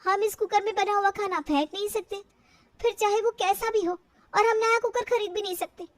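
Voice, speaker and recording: A woman's voice: very high-pitched, normal speed, no echo, voice pushed up. A woman voicing a young daughter-in-law with a bellyache, plaintive and sarcastic, in a storybook tale. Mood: sarcastic, whining.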